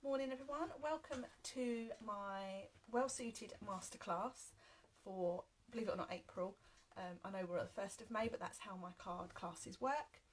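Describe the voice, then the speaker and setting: A woman's voice: mid-range voice, moderate pace, slight background noise. A woman in her thirties with a heavy cold, leading a live card-making class from her home craft room. She talks in a chatty, upbeat way with plenty of "um"s. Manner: chatty and upbeat, frequent "um" fillers. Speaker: craft demonstrator. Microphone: webcam at desk, then overhead camera.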